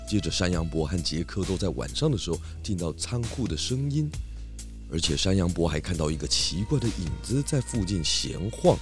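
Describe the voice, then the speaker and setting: A man's voice: low voice, big pitch swings, fairly quick, music in the background. A man playing a portly, confident pig detective in a children's detective audio drama, theatrical and full of exclamations. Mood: pondering, confident.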